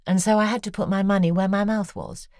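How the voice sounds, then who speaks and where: An actress voicing a poised, probing woman novelist in an audio drama, speaking in a measured, wry way. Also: medium pitch, steady tone, darkish tone, no room sound, studio quality, lightly acted. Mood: confessional. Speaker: author character.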